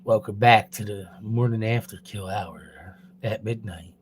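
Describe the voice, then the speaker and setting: A man's voice: slight background noise, natural voice. A man doing a crooning late-night radio DJ. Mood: smooth crooning. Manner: slow, low, crooning delivery. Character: smooth-talking late-night male radio DJ.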